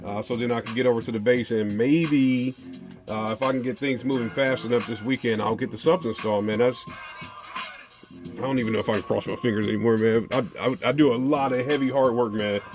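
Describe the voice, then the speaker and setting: A man's voice: medium pitch, steady tone, a little fast, music in the background. An American man hosting a car audio video, chatting casually to viewers in a colloquial, slangy way and often tagging phrases with "man". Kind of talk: casual unboxing and product chat to viewers.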